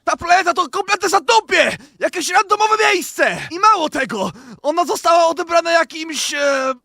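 A man's voice: very high-pitched, expressive, moderate pace, gravelly, pushed and bright, full character voice. A man voicing a silly, chatty, naive alien warrior sidekick in a parody anime fandub. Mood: alarmed.

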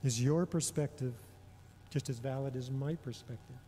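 A middle-aged man delivering a prepared homily in a measured, deliberate way, pausing often between phrases. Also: mid-range voice, warm tone, slight background noise. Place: large indoor church or hall. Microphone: gooseneck microphone on a lectern. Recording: long reverberation of a large hall.

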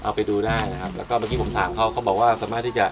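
A man's voice: on the low side, fairly even intonation, very fast, noisy background. Easygoing man vlogging outdoors. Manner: chatty, easygoing, narrates as he walks. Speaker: travel vlogger. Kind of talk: casual travel vlog. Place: outdoors at a cave entrance on a cliff. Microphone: handheld camera mic. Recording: music playing under the voice.

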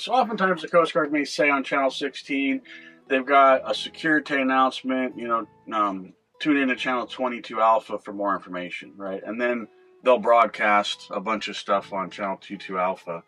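An older man speaks in a bright, forceful voice at an average pitch. He explains things in a casual, halting, conversational way, like a host talking to camera in a boat cabin. Faint guitar music plays behind him. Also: fairly even intonation, poor audio. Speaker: boating video host. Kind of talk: casual explanatory vlog talk.

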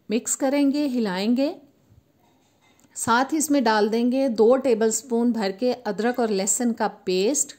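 A woman, host of a cooking channel, calmly explaining a recipe step by step in voice-over. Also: fairly high pitch. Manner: steady step-by-step instructional tone.

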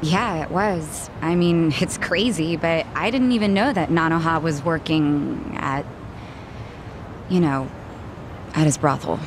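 A woman's voice: deep voice, a little slow, background noise. An actress playing a blunt, sarcastic young woman bartender in a video game. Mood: frank. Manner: brusque, sarcastic. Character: blunt, prickly young female cabaret bartender. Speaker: voice actor in a game. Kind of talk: English video game cutscene dub. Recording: music behind the voice.

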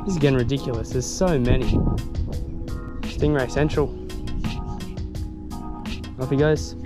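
A young Australian man excitedly narrating while wading and fishing in mangrove shallows outdoors, laughing often and talking in loose slang. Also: mid-range voice, unhurried, over music. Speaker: fishing vlogger. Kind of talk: casual on-the-spot narration.

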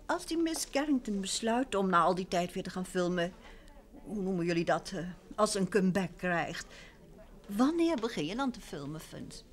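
A woman's voice: medium pitch, very animated, moderate pace, natural voice. An actress playing a sociable, curious married woman in a vintage radio play, inquisitive and conversational. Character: sociable, curious wife making polite conversation.

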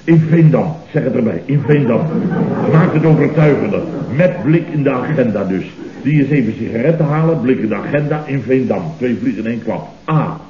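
An echoey, dull old radio comedy recording. An actor plays a blustering male office boss, agitated and bossy, firing off repeated clipped orders with very animated intonation. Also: mid-range voice, a little fast, background noise, close to an everyday voice. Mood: conspiratorial, urgent. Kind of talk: 1970s Dutch radio comedy acting.

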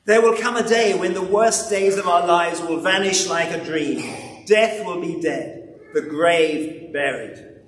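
An elderly man preaches in a large, echoing church, picked up from a distance. His delivery is very slow and solemn, with weighty pauses and deliberate repetition. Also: high voice. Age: 70s. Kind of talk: sermon with scripture reading. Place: large church interior, standing at a lectern. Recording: echoey hall, distant mic, background noise.

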